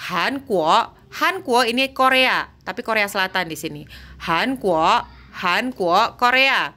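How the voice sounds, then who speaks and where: A woman teaching Mandarin vocabulary, drawing out model words slowly and correcting herself as she goes. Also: medium pitch, pushed and bright. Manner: slow, drawn-out model pronunciation, self-correcting. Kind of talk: language lesson explaining vocabulary.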